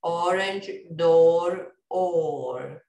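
Woman, Bosnian native speaker, hesitant careful repetition, roomy echo, very slow. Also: on the low side, mellow. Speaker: learner repeating drills. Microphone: webcam on a video call. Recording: echoey room on a video call.

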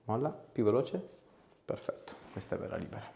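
A man in his thirties working as a chiropractor, giving calm, casual, reassuring instructions and short questions to a patient. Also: medium pitch, brisk, gravelly. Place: small clinic treatment room.

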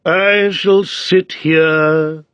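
A solemn storybook frog footman, drawls every phrase with stubborn, dim indifference. The voice sits well above his natural register and moves very slowly, in a dry, slightly muffled recording. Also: on the high side, fairly even intonation, fairly bright tone, clean tone, no echo, pitched above the performer's own voice. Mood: placid indifference. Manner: slow, drawn-out, solemn, stubbornly unhurried delivery. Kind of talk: children's storybook character voice.

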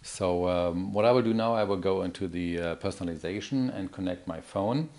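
A middle-aged man giving a live product demo in a halting, explanatory manner. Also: sits low, darkish tone. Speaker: product demonstrator.

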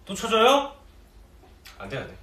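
A man's voice: sits high, a little slow. Playful young man chatting over a meal. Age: young adult. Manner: playful, teasing, lively storytelling. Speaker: idol group member hosting a livestream. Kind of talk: casual chat while eating. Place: small indoor office room, seated at a table. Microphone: fixed camera across the table. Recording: roomy, distant mic with faint hiss.

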